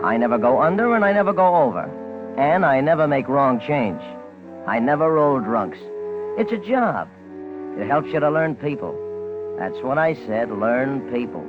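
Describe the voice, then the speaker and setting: An actor in a 1950s radio drama playing a chatty, streetwise Chicago cab driver with a matter-of-fact manner. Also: male voice, mid-range voice, lightly acted. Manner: talkative, casual, matter-of-fact. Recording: muffled 1950s radio recording, loud music.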